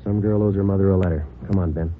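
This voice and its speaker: A man playing a terse, deadpan police sergeant in a 1940s radio detective drama, speaking in clipped, businesslike lines. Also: sits low, fast talker, natural voice. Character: terse, deadpan male police sergeant, polite but dogged. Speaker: actor in a radio detective series. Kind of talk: 1940s radio acting, terse narration and dialogue. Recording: tinny 1949 radio recording, faint music.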